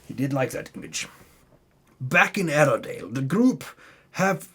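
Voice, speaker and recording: A man's voice: mid-range voice, expressive, a little slow, bright, forceful tone, husky. A man giving a dramatic, tongue-in-cheek reading of a fantasy game recap, breaking off into muttered asides. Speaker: narrator recapping a tabletop game campaign.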